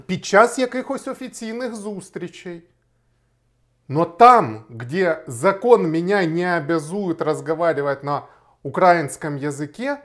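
A man in his thirties from Kharkiv, Ukraine, a lawyer speaking straight to camera in an emphatic, argumentative way. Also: high-pitched. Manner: emphatic, argumentative, gestures while talking. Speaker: lawyer and blogger. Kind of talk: direct-to-camera video reply. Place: small indoor room, plain wall.

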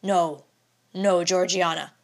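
A woman's voice: lowish voice, steady tone, slow pace, creaky. A woman reading the part of an intense, proud male scientist, speaking sternly in formal old-fashioned language. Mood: firm.

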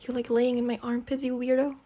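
Breathy, high-voiced giggly girlfriend, acted by a woman. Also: flat delivery, fast, warm tone, close to an everyday voice. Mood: teasing, comforting. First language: not English. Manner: giggly, teasing, rambling with pet names. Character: giggly, teasing, affectionate gamer girlfriend comforting her partner. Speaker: ASMR roleplay performer. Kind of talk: intimate girlfriend roleplay ASMR, casual improvised-sounding chat.

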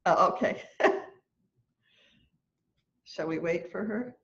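A woman in her sixties, a choir leader, telling a story in an animated, chatty way during a lesson on a video call from home. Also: on the low side, takes it slowly. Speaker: choir leader and music teacher. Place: room at home, indoors. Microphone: laptop webcam on a video call. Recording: dull lo-fi video call audio.

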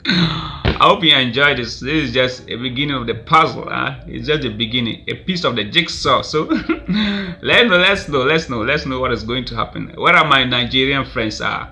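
A man's voice: mid-range voice, moderate pace, sharp tone. A Ghanaian man in his thirties, filming himself at a webcam in a small room, rambling through celebrity gossip with constant fillers and frequent laughter. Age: 30s. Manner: rambling, laughs often, many "I mean" fillers. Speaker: vlogger. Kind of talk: casual celebrity gossip commentary. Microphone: webcam at desk.

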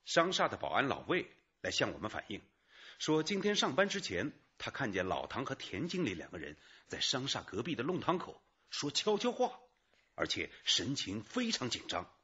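A man's voice: medium pitch, wildly swooping pitch, moderate pace, sharp tone, slightly rough, muffled recording, exaggerated voice. An actor playing an earnest junior male detective explaining things to his chief in a radio drama. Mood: earnest, reporting. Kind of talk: Chinese radio drama acting.

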